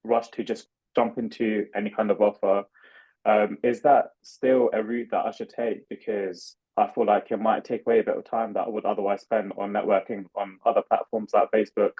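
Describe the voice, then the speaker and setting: A man's voice: lowish voice, level tone, normal speed, slightly rough. A man asking questions as a coaching client in a friendly, chatty way, over dull call audio.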